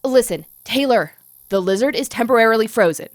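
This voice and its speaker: A woman's voice: on the high side, animated, a little fast, no room sound, clean studio sound, close to an everyday voice. A woman playing an earnest, anxious scientist who gives urgent, commanding instructions in an audio drama. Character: earnest, urgent young scientist coaching a trapped friend.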